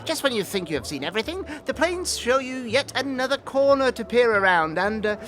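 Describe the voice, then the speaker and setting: Fussy, stammering gnome scholar, wildly swooping. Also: male voice, very high-pitched, big pitch swings, fairly quick, fairly bright tone, soft background music, full character voice. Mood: awed, delighted. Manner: stammering, pompous, florid old-fashioned phrasing. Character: portly, fussy, excitable gnome scholar and bureaucrat, apologetic, verbose. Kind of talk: fantasy audio drama acting.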